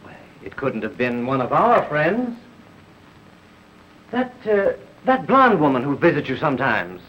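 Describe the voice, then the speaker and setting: A man's voice: on the high side, wildly swooping pitch, unhurried. An actor playing a puzzled, probing man with hesitant questions, in a 1930s film melodrama with muffled, noisy sound.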